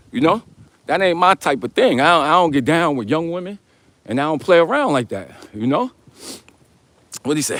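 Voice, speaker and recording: A man with a deep voice hosting a casual, rambling vlog, speaking in slangy, conversational style and addressing listeners as brother and man. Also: no room sound. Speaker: host of a live vlog. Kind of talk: casual rambling talk, answering viewer comments.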